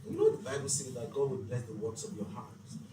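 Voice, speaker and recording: A man's voice: medium pitch, huge pitch swings, fairly quick. In a reverberant room, a man leads a motivational session, picked up a little way from the mic with noticeable noise behind him. He teaches like a preacher, stressing his points and putting rhetorical questions to the group. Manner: preacherly, emphatic, uses rhetorical questions and acted-out dialogue. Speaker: speaker leading a financial literacy leadership session. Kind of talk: motivational faith-based teaching talk to a live group. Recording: echoey room, distant mic, clear background noise.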